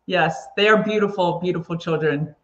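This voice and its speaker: A woman's voice: lowish voice, normal speed, fairly bright tone, roomy sound. American woman, slightly muffled lo-fi sound. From United States, grew up in California. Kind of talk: casual chat.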